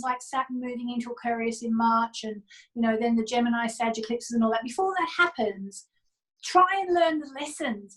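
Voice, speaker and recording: A woman's voice: sits high, a bit bright, low-quality recording. A woman speaking as an interview guest, explaining astrology in an earnest, informal and emphatic way.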